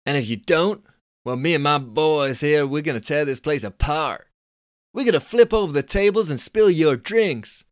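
A man's voice: a little high, animated, moderate pace, dry recording, clean studio sound, voice pushed up, natural voice. A man voicing a brash young American snowboarding champion with a put-on Californian accent and a boastful surfer-dude drawl. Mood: rowdy.

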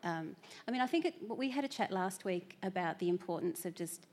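A woman's voice: on the low side, animated, brisk, a little mellow. A woman moderating a panel, reflective and hesitant, with plenty of "you know" and "um". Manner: reflective, hesitant, with many fillers. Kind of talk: panel discussion.

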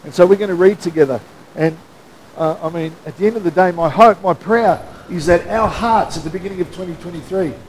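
A man preaching a sermon in a church with a loud voice, in a casual, joking manner with frequent restarts. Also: sits high, fairly quick, a little hiss or hum, rough recording. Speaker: pastor. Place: church hall.

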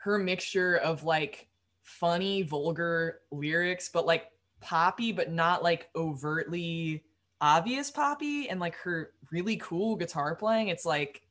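Low-voiced young woman, rambling and chatty. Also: slow pace, fairly bright tone. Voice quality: low-register voice. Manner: rambling, frequent "like" fillers, laughs easily. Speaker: musician guest. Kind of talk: casual interview chat.

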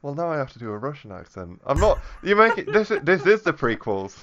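A man's voice: on the high side, big pitch swings, a little fast, fairly bright tone, highs cut off. A man co-hosting a podcast in a casual comedic chat, stumbling and restarting, laughing at his own jokes over a remote link. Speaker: podcast co-host. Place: remote call across the Atlantic.

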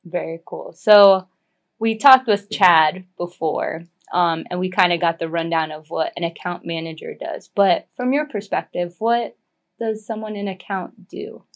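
A woman's voice: on the low side, fairly even intonation, unhurried. A woman hosting a podcast interview in an upbeat, encouraging way. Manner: upbeat, encouraging of her guest. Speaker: podcast host.

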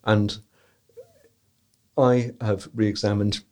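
A man talking as a podcast guest, giving measured, deliberate answers. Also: fairly low pitch, little pitch movement, darkish tone. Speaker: tour company guest.

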